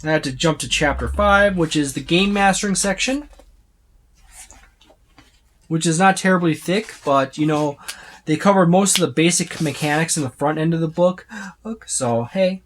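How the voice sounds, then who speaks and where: A tabletop game reviewer giving a casual, unscripted overview, speaking haltingly with repeated words and trailing lists. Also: mid-range voice, a little hiss or hum. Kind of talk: casual unscripted book flip-through overview.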